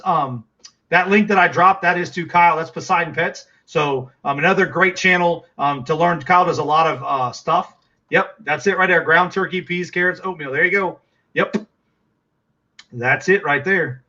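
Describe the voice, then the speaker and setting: Middle-aged Texan man, livestream host, rambling and chatty, slightly high bright voice. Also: dull audio. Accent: Texas. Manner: rambling, chatty, full of fillers and restarts. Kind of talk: casual livestream chat.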